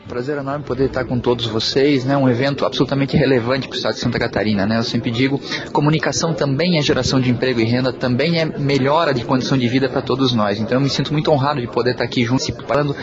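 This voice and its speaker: A man's voice: mid-range voice, fairly even intonation, racing, dull audio, music in the background. A man speaking formally as a company president at an event, tagging sentences with "né". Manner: formal, official, frequent "né". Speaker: public company president guest. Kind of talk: interview answer at event.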